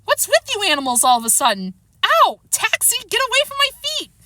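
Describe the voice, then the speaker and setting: A woman's voice: high-pitched, sing-song, normal speed, bright, forceful tone, no echo, studio quality, pitched above the performer's own voice, exaggerated voice. A woman voicing a threatening, easily flustered man in a storybook, blustering and exclaiming indignantly. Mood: indignant, pained.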